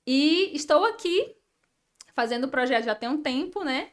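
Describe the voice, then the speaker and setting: A woman's voice: high voice, animated, normal speed. A woman in her thirties from Ceará, Brazil, a guest architect telling her life story in casual, chatty interview talk with frequent tag questions. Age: 30s.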